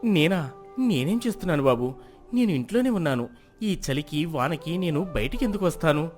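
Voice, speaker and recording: A humble farmer under accusation played by a man protests and pleads. The delivery is defensive and lively, in dramatized folk-tale style, with music underneath. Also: fairly high pitch, normal speed, close to an everyday voice. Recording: music behind the voice.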